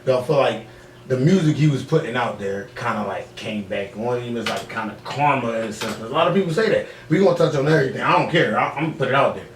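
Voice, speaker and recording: Male podcast host, mid-pitched, blunt informal questioning with repeats, distant roomy sound. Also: lively intonation, fast talker. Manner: slangy, blunt, repeats himself. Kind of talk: casual interview chat. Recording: echoey, distant mic, faint room noise.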